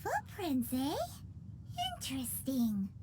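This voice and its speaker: A woman's voice: high voice, sing-song, slow, mellow, a little hiss or hum, exaggerated voice. A woman voicing a small, chirpy, curious childlike fairy companion in a video game, with music behind her.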